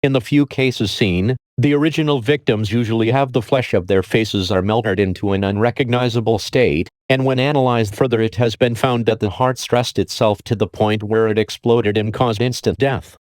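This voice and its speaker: A man playing a calm, formal emergency alert announcer, reading a script in a measured, impersonal and grave way. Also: on the low side, no room sound, studio quality, natural voice.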